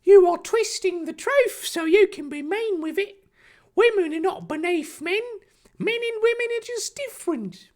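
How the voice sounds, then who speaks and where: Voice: very high voice, measured pace, voice pushed up. A performer voicing an earnest, pious teenage boy hero in an indignant, declamatory manner.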